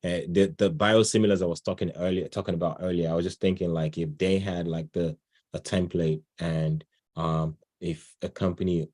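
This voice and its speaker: A man's voice: sits low, fairly even intonation, normal speed, a little mellow. A man moderating an online session in an informal, conversational and chatty way, full of thanks and encouragement. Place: online video call.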